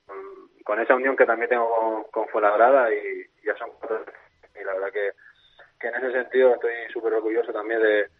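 A man with a softened Canarian Spanish accent, a footballer speaking in a phone interview, hesitant and repeating words with many fillers. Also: lowish voice, fairly even intonation, brisk. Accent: Canarian Spanish, a little softened. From Canary Islands. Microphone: telephone. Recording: tinny phone line.

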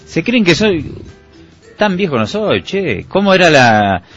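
A man's voice: a little high, sing-song, unhurried, a bit bright, slightly rough. A man in his thirties with an Argentine accent, co-hosting a radio show in a casual, joking, opinionated way. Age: 30s. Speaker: radio co-host. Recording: dull lo-fi radio with faint music.

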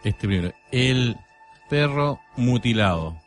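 An older man giving a review on a radio show in halting, formal, deliberate phrasing. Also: mid-range voice, expressive, faint music behind.

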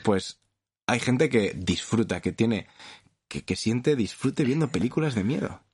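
A man's voice: medium pitch, lively intonation, a little slow, darkish tone, slightly rough. A man in his thirties from Madrid with a Madrid accent, co-hosting a casual comedy podcast with crude, exaggerated jokes. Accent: Madrid Spanish. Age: 30s. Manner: joking, exaggerated, crude slang. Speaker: podcast co-host.

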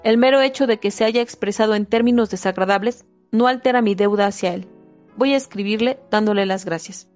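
A woman giving a steady, measured reading of a self-help book, with a few small slips and restarts. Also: mid-range voice, faint music behind. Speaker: audiobook reader.

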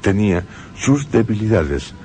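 A man's voice: lowish voice, sing-song, a little slow, dark tone. A middle-aged man hosting a radio drama, narrating in a grave, ominous storytelling manner. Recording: music under the voice.

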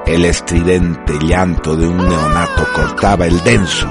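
Deep-voiced solemn male drama narrator. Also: steady tone, loud music behind the voice. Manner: solemn, measured, literary.

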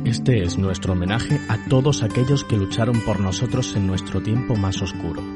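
A man's voice: lowish voice, normal speed, loud music behind the voice, close to an everyday voice. A man playing a coaxing, wry scriptwriter in an audio drama. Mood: grateful, solemn. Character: male scriptwriter, coaxing and wry with his creations. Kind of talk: audio fiction acting.

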